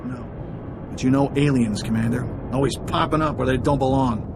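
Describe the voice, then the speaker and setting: A man's voice: mid-range voice, brisk, creaky, lightly acted. A male actor playing a smug, roguish thief in a sci-fi video game, glib and sarcastic, with noisy ambience behind him. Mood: smug, mocking. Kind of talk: video game cutscene acting.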